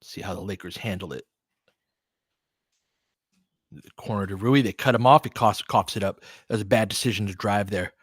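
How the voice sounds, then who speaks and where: A man's voice: lowish voice, moderate pace, pauses often, breathy edge, no echo. Casual man calling basketball live, close dry sound. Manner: conversational, clipped play-by-play phrases. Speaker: livestream play-by-play host. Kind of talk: casual live basketball commentary with fan chat.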